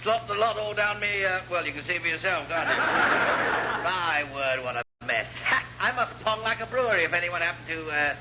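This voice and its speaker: A man's voice: high voice, lively intonation, moderate pace. A man playing an amiable, bumbling commander with an upper-class English accent, rambling absent-mindedly and chuckling in a radio sitcom. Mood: cheerfully befuddled. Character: amiable, bumbling, tipsy-sounding accident-prone posh male commander. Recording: muffled, noisy vintage radio recording.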